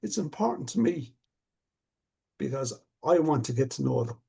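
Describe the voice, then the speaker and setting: An older man speaks with a gravelly, husky voice. His tone is dark and mellow. He talks about things in an earnest, reflective, measured way, the way a coach does. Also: mid-range voice, very animated. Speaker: stuttering coach. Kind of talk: casual conversation.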